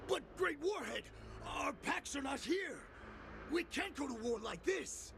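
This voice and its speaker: A man voicing an anxious, loyal wolf-beast henchman in a video game, rough-voiced, urgent and deferential. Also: extremely high pitch, expressive, unhurried, shouting, noisy background, exaggerated voice. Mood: pleading. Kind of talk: dramatic video game acting. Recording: music behind the voice.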